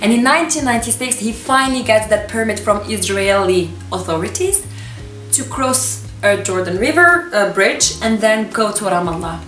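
A woman in her twenties, a native Arabic speaker, casually recommending books to camera in an expressive, gushing, emphatic manner. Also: medium pitch, normal speed, soft background music. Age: 20s. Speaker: book reviewer and vlogger. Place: small furnished room with books, indoors. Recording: echoey room, distant camera microphone.

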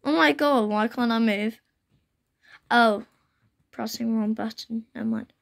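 A young woman with a croaky voice chatting casually as she plays a computer game, recorded on a phone she holds up to her laptop screen at home. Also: a little high, unhurried. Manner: chatty, exclaims often. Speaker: gaming channel host. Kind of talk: casual gameplay commentary. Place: indoors at home, rain outside. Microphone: phone held while filming a laptop screen.

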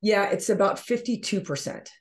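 A woman's voice: medium pitch, normal speed. A middle-aged woman giving explanatory answers as an interview guest on a video call. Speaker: interview guest, gym owner.

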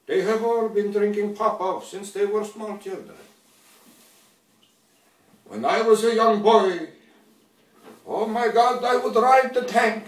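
A man's voice: high voice, a bit bright. A man playing a nostalgic old patriot who lectures children with broken grammar. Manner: slow, solemn, broken grammar. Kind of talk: comedy monologue. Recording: echoey room, distant from mic.

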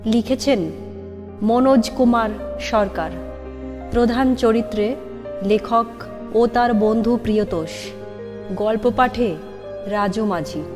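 A woman's voice: on the high side, slow pace, long pauses. A woman reading a scripted introduction and credits for an audio story. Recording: long reverb under clear music. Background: new-age music.

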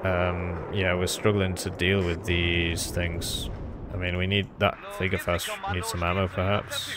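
A man's voice: deep voice, little pitch movement, unhurried, noisy background. A man giving casual, rambling and self-deprecating commentary on his own strategy game as he plays.

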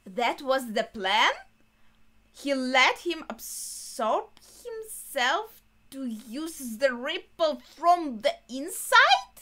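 A young adult woman giving spontaneous reaction commentary, thinking aloud in short, halting remarks. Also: sits high, big pitch swings, bright, forceful tone. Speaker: anime reaction vlogger. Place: small bedroom, indoors.

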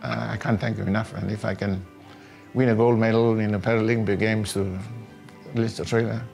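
An older man from a small island, telling his personal story in an interview in a reflective, halting way. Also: lowish voice, level tone, vocal fry, darkish tone. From small Pacific island north of Fiji. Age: older, about 60. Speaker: housing tenant giving a testimonial. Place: small living room at home, indoors. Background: background music.

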